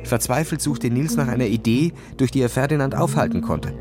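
A man's voice: medium pitch, expressive, fairly quick, loud music behind the voice. A man narrating a children's story in a dramatic, scripted reading style.

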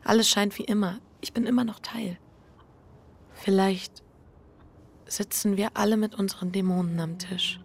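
A reflective married woman in a radio play. She speaks intimately and introspectively, as if weighing her guilt. The voice is husky and gravelly with fry at its edges. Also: medium pitch, a little mellow. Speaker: lead actress and first-person narrator. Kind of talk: intimate radio drama acting.

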